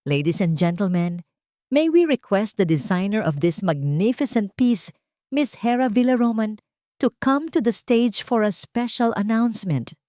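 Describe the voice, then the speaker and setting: A woman's voice: mid-range voice, animated, unhurried, mellow, dry recording, studio quality, voice pushed up, natural voice. Formal Filipino gala auctioneer, voiced by a woman. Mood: formal, courteous. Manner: formal, announcing.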